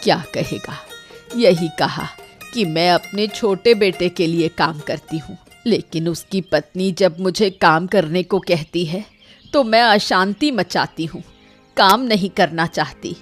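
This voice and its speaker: A woman's voice: on the low side, measured pace, soft background music. A woman voicing a hardworking elderly village mother-in-law in a cartoon, proud, plain-spoken and plaintive. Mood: aggrieved. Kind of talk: animated moral story acting.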